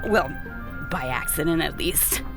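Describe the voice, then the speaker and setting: A woman's voice: deep voice, expressive, unhurried, creaky, over music, full character voice. A young woman playing an eager, friendly super-strong rookie henchwoman in an audio drama, chirpy and a little apologetic. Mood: friendly, sheepish.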